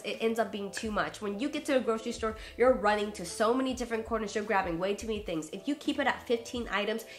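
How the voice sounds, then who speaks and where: A woman's voice: medium pitch, fairly bright tone. A young adult woman vlogging to camera in a chatty, rambling, upbeat way, her voice nasal and stuffed up from a cold. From USA. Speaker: YouTuber. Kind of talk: casual vlog talk to camera.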